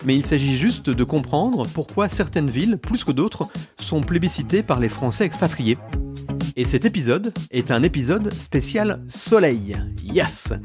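A man hosting a podcast in a lively, chatty and informal way. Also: on the high side, unhurried, music in the background. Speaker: podcast host.

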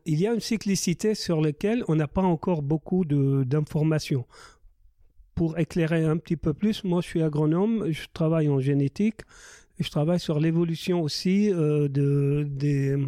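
A man in his sixties, originally from Palestine, an agronomist speaking in a group discussion, measured and searching for words. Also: a little high, mellow, no echo, studio quality. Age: 60s. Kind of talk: casual discussion.

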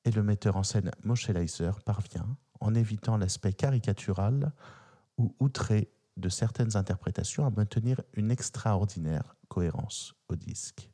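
A man with a low, mellow voice reads prepared notes on air. His delivery is measured and careful, with the odd small restart. It sounds like a radio studio. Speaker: radio host. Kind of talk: reading liner notes on radio.

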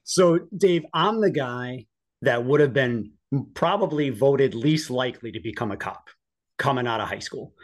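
American man in his forties telling stories. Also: medium pitch. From northeastern United States. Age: 40s. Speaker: podcast guest, police officer and former EMT. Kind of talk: conversational personal storytelling.

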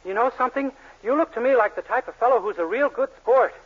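A naive, eager young male clerk in a 1940s radio comedy. He talks fast in a high, guileless voice. The recording sounds muffled and old. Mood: wheedling. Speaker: sitcom lead actor.

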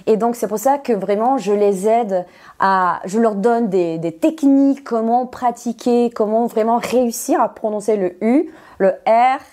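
Mellow-voiced teacher, woman. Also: fairly high pitch, a little slow. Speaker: non-native French teacher, interview guest. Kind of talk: conversational explanation in an interview.

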